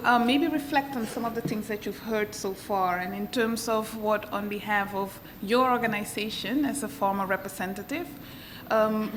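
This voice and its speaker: Female panel moderator, polite, steady mid voice, light hiss behind. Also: medium pitch. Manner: polite, guiding, some fillers.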